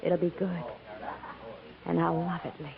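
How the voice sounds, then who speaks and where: An actress playing a coy, homespun storekeeper's daughter in a 1950s radio western, gently teasing. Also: female voice, lowish voice, little pitch movement, a little slow, creaky, crowd noise. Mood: flustered, glad. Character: warm, teasing, capable frontier storekeeper's daughter. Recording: narrow-band, noisy 1950s radio recording.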